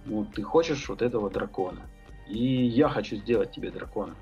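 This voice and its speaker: A man's voice: fairly low pitch, a little fast, dark tone, highs cut off, faint music behind. A man talking as a podcast guest, thinking aloud about his tattoo and painting work, rambling with many fillers and hesitations. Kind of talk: casual podcast conversation.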